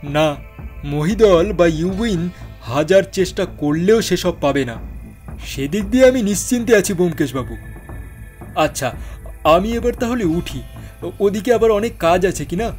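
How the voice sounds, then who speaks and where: A man playing an elderly, courteous and worried Burmese father in a radio drama, speaking in a deliberate theatrical way. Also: high voice, expressive, darkish tone, faint music behind. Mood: reassured, polite. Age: older. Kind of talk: Bengali radio drama acting.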